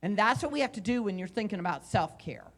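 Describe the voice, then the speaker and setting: A woman in her forties giving a loud, conversational motivational talk into a handheld mic on a conference room stage. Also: mid-range voice, lively intonation, quick pace. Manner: loud, conversational, with repeated phrases. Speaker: motivational speaker and coach. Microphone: handheld microphone.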